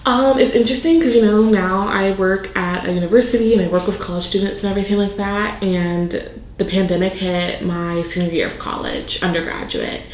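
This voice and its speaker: A woman's voice: medium pitch, steady tone, a little fast, echoey room, a little hiss or hum, low-quality recording. A young woman in her twenties from South Carolina, answering interview questions in a chatty way with many fillers. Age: 20s.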